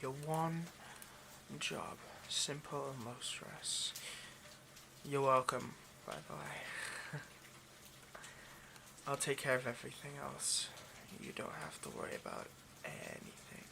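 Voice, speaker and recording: A man playing a possessive, condescending rich vampire in an intimate ASMR roleplay, domineering and hushed, often slipping into a whisper. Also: mid-range voice, very animated, takes it slowly, gravelly, background noise, exaggerated voice. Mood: smugly protective.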